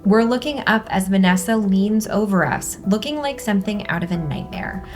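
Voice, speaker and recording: A woman's voice: mid-range voice, normal speed, a little mellow, soft background music. A woman reading screenplay stage directions as scripted narration at a table read.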